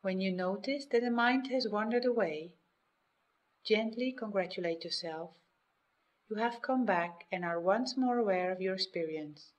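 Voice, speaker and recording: A woman leading a guided meditation in a measured, instructional way, speaking in short phrases with pauses. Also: mid-range voice, muffled recording. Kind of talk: guided meditation, scripted narration.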